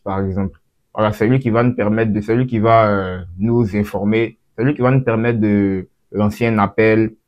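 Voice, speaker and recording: A man's voice: lowish voice, darkish tone, lo-fi sound. A man giving a casual, off-the-cuff tutorial, often repeating and restarting his phrases as he explains. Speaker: tutorial host.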